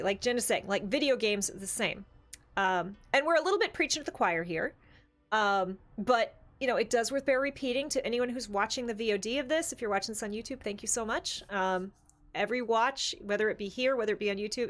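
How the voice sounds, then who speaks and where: A woman's voice: medium pitch, a little fast, fairly bright tone. A woman hosting a casual discussion show, talking conversationally with lots of "like" asides. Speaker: show host. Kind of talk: casual panel discussion of tabletop gaming.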